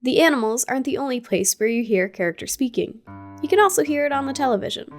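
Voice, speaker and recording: Woman with a slightly high voice doing a curious, playful video essay voice-over, casual asides, faint music underneath. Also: lively intonation, fast talker. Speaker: gaming YouTuber. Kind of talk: scripted video essay narration.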